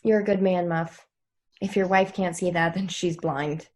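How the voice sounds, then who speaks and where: A woman's voice: fairly low pitch, fairly even intonation, measured pace, darkish tone. A woman playing a frank, teasing yet supportive young woman in a TV drama read, conversational in delivery. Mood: sincere, supportive.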